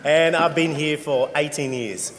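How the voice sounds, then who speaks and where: Man from West Africa, guest speaker, playful, fairly high pitch, long reverb. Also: unhurried, fairly bright tone, slight background noise. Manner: laughs a lot, playful. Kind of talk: casual storytelling.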